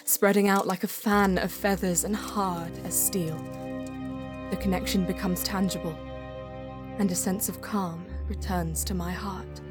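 A woman playing a solemn Eldar bonesinger who narrates her quest in a measured, poetic way in an audio drama. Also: mid-range voice, level tone, natural voice. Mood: solemn, calm. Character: female Eldar bonesinger, solemn, mystical, easily frustrated seeker. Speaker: narrator and lead character. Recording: music under the voice. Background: background music.

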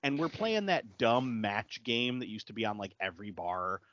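A man in his thirties co-hosting a podcast, telling an animated anecdote with quick asides. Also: lowish voice, slight background noise. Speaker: podcast co-host. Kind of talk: casual chat. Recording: dry, dull lo-fi audio.

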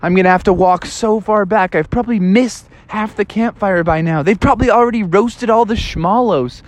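A man's voice: high voice, normal speed, dry recording, close to an everyday voice. A man voicing a laid-back, grumpy young male animal who talks in slangy dude speak and whines a lot. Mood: grumbling. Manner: slangy surfer-dude talk, whiny.

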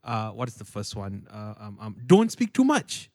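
Male podcast host, baritone, very lively intonation, dry close recording, casual and playful. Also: normal speed, no room sound.

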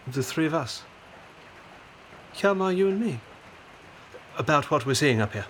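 An actor playing an earnest, insistent doctor in a naturalistic 1940s audio drama, speaking in a serious, probing way. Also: male voice, a little high, animated, unhurried, slight background noise. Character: earnest, insistent doctor documenting prison camp conditions in 1945.

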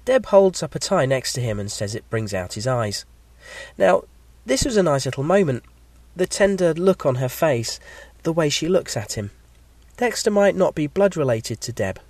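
A man with a slight cold and a croaky voice, hosting a podcast and reading a measured, scripted episode review. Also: fairly high pitch, big pitch swings, darkish tone, no echo. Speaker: podcast host.

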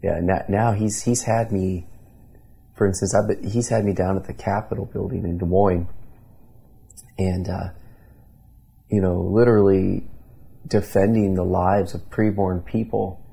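A man's voice: sits low, fairly even intonation, measured pace, vocal fry, pauses often, dark tone, husky. A man in his thirties from Indiana, a pastor telling his story in an earnest conversational interview, with many restarts. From Indiana, USA.

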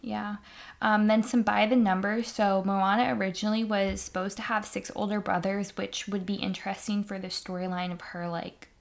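Casual podcast co-host, woman, mid pitch with some fry, easygoing chatter. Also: little pitch movement, normal speed. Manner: chatty, many ums, laughs lightly. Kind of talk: casual movie podcast chat.

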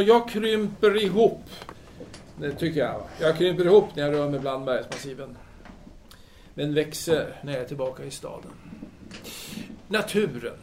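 Man playing a musing philosopher, higher-pitched voice. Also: a little hiss or hum. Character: brooding, talkative male philosopher in 1930s Paris. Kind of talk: audio drama acting.

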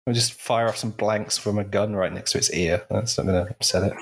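A man's voice: fairly low pitch, fairly even intonation, brisk, vocal fry, breathy edge. A man chatting about a film, making dry, joking asides. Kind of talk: casual film commentary chat.

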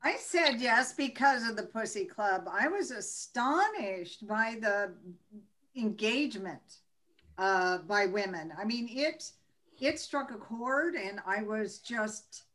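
A woman in her sixties, a panel guest speaking earnestly, a bit halting, laughing at herself. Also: fairly high pitch, animated, a bit bright. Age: 60s. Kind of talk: casual panel chat.

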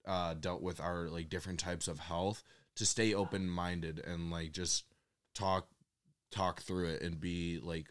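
A man's voice: sits low, flat delivery. A young man talking casually with family on a podcast, rambling through his thoughts with lots of fillers. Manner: rambling, many fillers, quick to laugh. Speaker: family guest.

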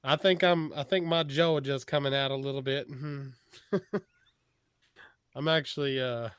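A man's voice: medium pitch, steady tone, slow, fairly bright tone, clean tone, no echo. A man with a Texas accent, chatting casually as a podcast guest, often restarting his sentences. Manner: rambling, frequent restarts, chuckles. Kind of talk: casual chat.

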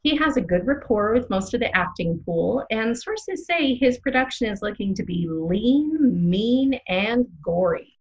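Excitable small-town radio woman, opinionated and theatrical, big swings in pitch, slightly muffled sound. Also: a little slow. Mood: chatty, dramatic. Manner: theatrical, playfully dramatic. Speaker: radio co-host. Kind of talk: scripted comic radio banter.

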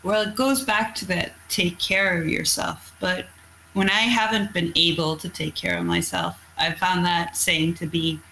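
Bright, candid Norwegian woman, second-language English, faint room noise. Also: fairly low pitch, animated, a little slow, rough recording. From Norway. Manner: candid, storytelling, blunt with mild cursing. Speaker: guest, coastal fisherman. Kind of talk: casual interview chat.

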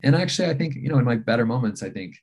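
A man's voice: mid-range voice, expressive, rapid-fire, darkish tone, slightly rough. A middle-aged man, a palliative care physician, talking thoughtfully in an interview, with many fillers and restarts. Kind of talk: reflective interview talk.

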